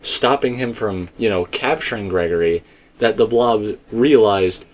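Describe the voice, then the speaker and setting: A man with a fairly low voice hosts a casual, speculative discussion. He rambles emphatically, circling back and repeating phrases as he builds his point. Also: unhurried, rough recording. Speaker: video game theorist and host.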